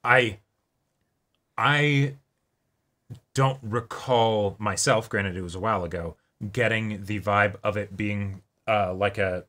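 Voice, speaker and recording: A man hosting a reaction show in casual discussion, halting and repeating words as he reasons aloud. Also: on the low side, bright, forceful tone. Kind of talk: casual discussion of an anime episode.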